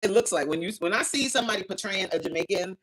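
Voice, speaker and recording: A woman hosting a casual livestream, reading chat aloud, animated and outspoken, exclaiming often and laughing as she talks. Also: mid-range voice, fast, breathy edge, low-quality recording. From United States.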